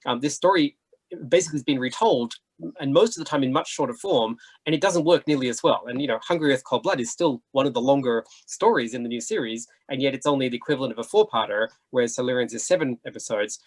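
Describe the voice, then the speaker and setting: A man talks very quickly in a slightly husky voice. He is a chatty panelist who thinks out loud, dropping in frequent asides as he explains his views in a casual discussion. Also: medium pitch. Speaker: panelist, writer.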